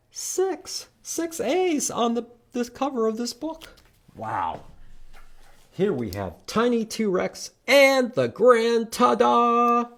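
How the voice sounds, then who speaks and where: Slow-paced, animated male children's storytime host. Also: fairly high pitch. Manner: simple short phrases with sing-song emphasis, addressed to young children. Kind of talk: children's read-aloud and letter lesson.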